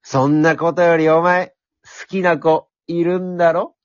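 A man voicing a brash, cheeky talking pencil in an amateur radio drama, speaking in rough, cocky, encouraging slang. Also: fairly high pitch, flat delivery, measured pace, no echo, highs cut off, close to an everyday voice. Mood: cheeky, teasing.

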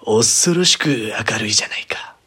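Man voicing a sinister, husky old witch woman. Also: fairly low pitch, animated, normal speed, bright, forceful tone, full character voice. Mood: sly, menacing. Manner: archaic, knowing old-woman phrasing. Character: sinister old witch aunt, sly and unhurried.

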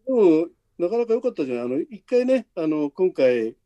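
A man's voice: high-pitched, sing-song, a little fast, dark tone, dry recording, muffled recording. A man hosting a casual behind-the-scenes chat, speaking in a conversational, encouraging way. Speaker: podcast host, radio drama producer.

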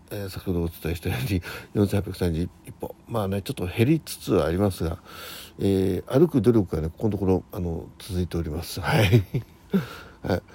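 A man in his sixties hosting a casual solo radio chat, rambling haltingly and often correcting himself as he goes. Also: sits low, a little mellow, slightly rough. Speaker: host of a morning audio diary show.

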